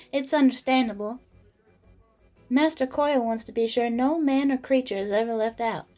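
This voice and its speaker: A woman's voice: sits high, measured pace, a little mellow. A woman playing a busy, polite and chatty tavern serving woman in a fantasy audio drama. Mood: chatty, attentive. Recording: background music under the voice.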